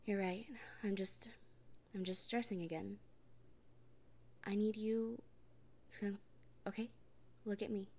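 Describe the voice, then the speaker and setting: A woman in a soft, gently reassuring comfort roleplay, often speaking in a whisper. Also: medium pitch, fairly even intonation, slow pace, long pauses. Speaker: roleplay performer speaking as a partner.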